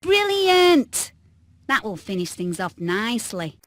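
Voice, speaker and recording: A woman's voice: a little high, big pitch swings, slow pace, no echo, studio quality. A woman voicing a cheerful, dim-witted hen in a video game, naive and innocently eager. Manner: naive, chirpy. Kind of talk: animated video game acting.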